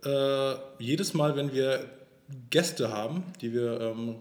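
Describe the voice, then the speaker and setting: A man's voice: mid-range voice, slow pace, roomy sound. Rambling man in his thirties. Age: 30s. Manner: laughing easily, rambling, restarts mid-sentence. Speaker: sexton and podcast co-host. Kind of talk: casual chat.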